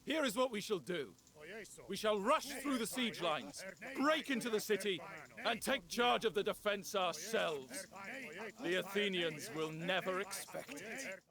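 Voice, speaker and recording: A man's voice: sits high, lively intonation, unhurried, crowd noise. A man playing a bold, proud Spartan general in a game, giving rousing, commanding speeches and orders. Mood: confident, proud.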